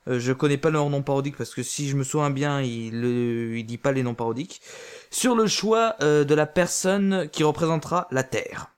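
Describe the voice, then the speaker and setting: A man's voice: medium pitch, moderate pace, clear, resonant tone. A man reviewing an amateur audio drama in casual spoken French, with frequent fillers and restarts. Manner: hesitant, many fillers and restarts.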